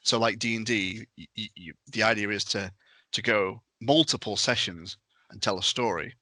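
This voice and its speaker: A man talks through examples in an informal, explanatory podcast style. His voice sits fairly low but has a bright, forward edge. The sound is close and dry. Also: moderate pace, dry recording. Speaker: podcast panellist explaining a tabletop game. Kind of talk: casual podcast discussion.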